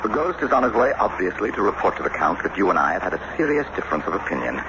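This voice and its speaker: An actor playing a shrewd, excitable statesman and husband in a period radio drama, theatrical and prone to stammer when flustered. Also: sits low, very fast. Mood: wry, scheming. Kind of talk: old-time radio drama acting. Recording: muffled, noisy vintage radio recording.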